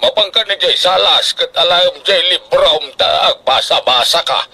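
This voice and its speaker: A man's voice: sits high, normal speed, gravelly, sharp tone, full character voice. A man playing a strict, worrying middle-aged father in an Ilocano radio drama, with an emphatic, scolding manner. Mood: caring. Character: strict, worrying Filipino father of a student daughter.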